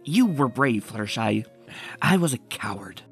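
A woman's voice: very deep voice, very animated, a little slow, slightly rough, soft background music. A woman reading a comic aloud and voicing the heroic adventurer in an earnest, storytelling way. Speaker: comic dub reader.

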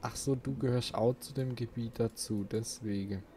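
A man's voice: medium pitch, steady tone, normal speed, warm tone, slight background noise. A man giving casual let's play commentary, thinking aloud and muttering questions to himself.

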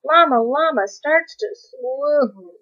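Female storybook reader, higher register, unhurried singsong delivery of children's verse, slightly dull lo-fi recording. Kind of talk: expressive children's read-aloud of rhyming verse.

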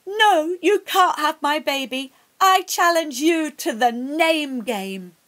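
A woman storyteller voicing a kindly village mother who speaks eagerly, pleading and flustered, in a higher voice. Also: lively intonation, measured pace. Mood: defiant.

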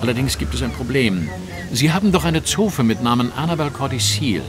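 An actor playing a shrewd, commanding master detective in an audio drama, speaking in a precise, formal, instructive manner. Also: male voice, lowish voice. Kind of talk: German audio drama acting.

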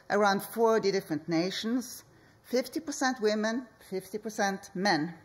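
A woman speaks as an architect presenting at a conference, her pitch sitting in an ordinary middle range. She talks slowly and deliberately, leaving pauses between short phrases. She speaks into a podium microphone on a hall stage. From Norway. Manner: slow, measured delivery with deliberate pauses. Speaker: architect and project leader.

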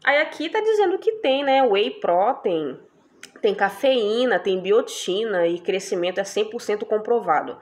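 A woman's voice: medium pitch, animated, normal speed, darkish tone. Chatty young woman showing haul. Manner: chatty, tags lines with "tá?" and "né?". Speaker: beauty vlogger. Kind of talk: casual product haul chat.

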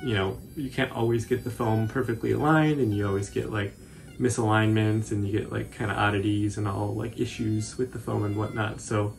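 A man in his twenties giving a casual, informal tutorial commentary in a halting, hesitant way. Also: on the low side, fairly even intonation, gravelly, slight background noise. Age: 20s. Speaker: cosplay tutorial presenter.

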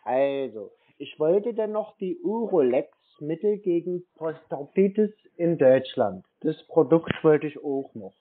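A man's voice: a little high, lively intonation, unhurried, few pauses, slight background noise. A man posing as a customer on a telephone call, asking persistent questions in a naive-sounding way. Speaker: prank caller posing as customer. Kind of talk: phone conversation. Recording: narrow phone-like sound.

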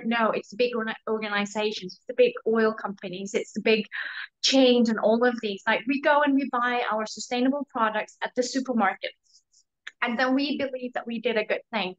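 Earnest young woman on a muffled call. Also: a little high. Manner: earnest, emphatic, quick asides. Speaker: panelist. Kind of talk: video call panel discussion. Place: room at home with bookshelves. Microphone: video call laptop mic. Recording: dull lo-fi call audio.

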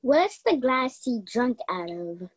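A woman's voice: fairly high pitch, very animated, slow, no echo, full character voice. A young woman playing a self-important, competitive female detective in a radio mystery play.

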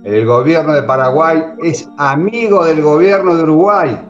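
A man's voice: a little high, normal speed, close to an everyday voice. A man playing a servile president. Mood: deferential. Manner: deferential, agreeable. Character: compliant male Argentine president, servile. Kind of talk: amateur radio drama acting over video call. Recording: echoey room, dull lo-fi audio, faint music.

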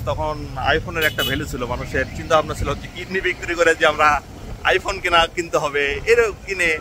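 A man in his thirties talking into a phone held at arm's length on a village road, thinking out loud and rambling with restarts. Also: on the high side. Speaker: vlogger. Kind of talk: casual reflective monologue. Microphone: phone selfie camera at arm's length. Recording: noisy outdoor phone recording.

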